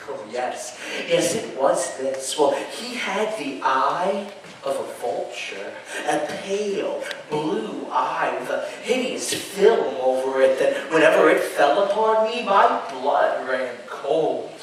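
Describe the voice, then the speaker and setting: A male stage actor playing a nervous, gloating murderer in a theatrical gothic horror monologue, halting and stammering as he insists he is sane. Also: a little high, lively intonation. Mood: brooding, obsessed. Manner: halting, stammering, drawn-out phrases with sudden emphasis. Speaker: stage actor performing a dramatic reading. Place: live performance space at an amusement park show. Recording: echoey live show, distant mic, faint noise.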